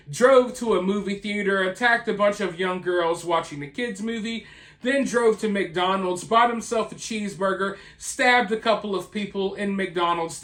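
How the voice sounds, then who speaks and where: The recording is roomy and echoing. An opinionated true crime host speaks at a slow pace, leaning on words and pausing for drama. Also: mid-range voice, fairly bright tone.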